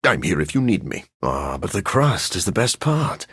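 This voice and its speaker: An actor playing a sophisticated, bookish vampire scientist in a video game, speaking in an articulate, formal way with dry wit and a raspy edge. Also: male voice, low-pitched, very animated, moderate pace, fairly bright tone, dry recording, exaggerated voice. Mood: wry. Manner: articulate, formal, dryly witty. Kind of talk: video game character voice lines.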